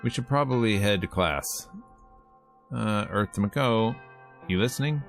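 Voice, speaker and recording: A man's voice: lowish voice, a little slow, vocal fry. A man playing a game on stream, reading its text aloud with joking, casual commentary and acting out lines. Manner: joking, acts out lines as he reads. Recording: game music playing behind. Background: visual novel music and character voices.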